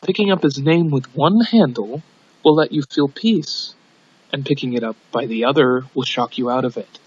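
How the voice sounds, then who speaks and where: A man's voice: mid-range voice, normal speed, slightly rough, no room sound. A man reading a scripted audiobook in an amateur narration style, on a dull lo-fi recording. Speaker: audiobook reader.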